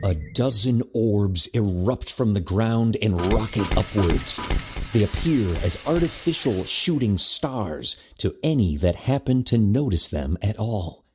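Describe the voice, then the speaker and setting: A man reading scripted audio drama narration as a deliberate, descriptive storyteller. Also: on the low side, animated, a little mellow, a little hiss or hum.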